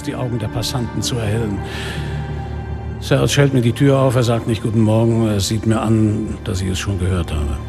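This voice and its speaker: An actor playing a sardonic, world-weary police inspector who narrates a radio drama in a dry, deliberate manner. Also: male voice, mid-range voice, creaky, natural voice. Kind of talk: radio drama acting, literary first-person narration. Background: music.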